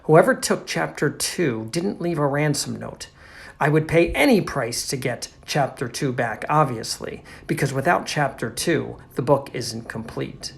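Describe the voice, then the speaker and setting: A man's voice: mid-range voice, animated, a little slow, vocal fry. A man voicing a flustered, indignant storybook narrator in an exclamatory, emphatic way. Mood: alarmed. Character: flustered, indignant book narrator alarmed by a mystery.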